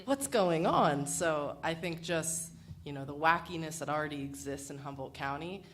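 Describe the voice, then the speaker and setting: A woman's voice: low-pitched, moderate pace. A woman talking casually as a radio panelist in a discussion. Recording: noisy room ambience.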